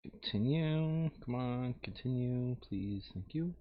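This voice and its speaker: A man talks through a board game in an informal, thinking-aloud way, his pitch moving around a lot. He sometimes mutters to himself as he sets up. The sound is dry and a little dull, like a modest home microphone. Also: lively intonation, takes it slowly, dry recording. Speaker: board game player recording a playthrough. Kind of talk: casual gaming chat, thinking aloud.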